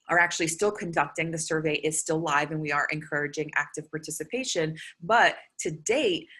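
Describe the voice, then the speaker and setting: A woman meteorologist giving a prepared conference presentation, earnest and steady, with frequent filler sounds. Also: deep voice.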